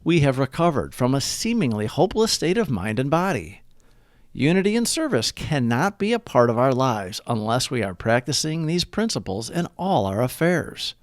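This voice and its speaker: A man reading a scripted reflection aloud in a measured, deliberate way, with pauses between phrases. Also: medium pitch, very animated, dry recording, studio quality. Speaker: narrator of a daily recovery reading. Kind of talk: scripted read-aloud narration.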